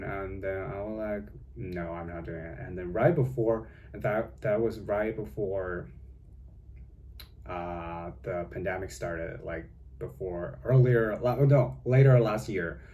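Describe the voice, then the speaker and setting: A man's voice: deep voice, dark tone, slight background noise. A young man from China with a Chinese accent, speaking English as a second language and chatting casually in a vlog from home, rambling with many fillers and self-corrections. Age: young adult. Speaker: vlogger. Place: home with cats.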